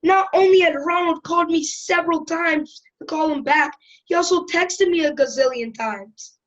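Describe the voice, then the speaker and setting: A woman's voice: extremely high pitch, normal speed, a bit bright, dull audio. A woman playing a cheeky, chatty schoolboy who tells his story in a wry, conversational way. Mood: chatty, amused. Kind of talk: first-person storytelling in audio drama.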